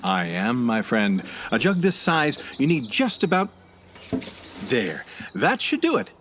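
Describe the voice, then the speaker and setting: An actor in a radio play voicing a breezy, self-assured man who bluffs expertise in a folksy, smooth-talking way. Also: mid-range voice, wildly swooping pitch, a little slow, background noise. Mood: folksy, cocky. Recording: faint outdoor bird and insect ambience.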